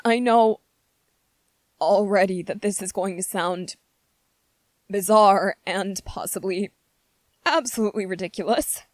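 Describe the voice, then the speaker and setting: A woman playing a gentle, anxious young prince in an ASMR roleplay, speaking in a hesitant, earnest and pleading manner. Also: medium pitch, unhurried, pauses often, no echo, lightly acted. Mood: tender, devoted. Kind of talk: ASMR roleplay, scripted character acting.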